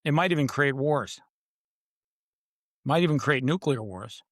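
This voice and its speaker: A man's voice: medium pitch, lively intonation, a little slow, no room sound, studio quality. A man who is a podcast guest and author, talking in a confident, emphatic way. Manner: emphatic, confident, repeats "literally". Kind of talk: casual podcast interview.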